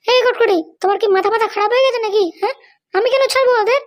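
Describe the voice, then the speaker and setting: A performer voicing a scheming, haughty woman politician in a cartoon comedy, bossy, scolding and fond of sharp questions. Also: extremely high pitch, rapid-fire, no room sound. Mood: annoyed. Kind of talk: amateur cartoon comedy dialogue.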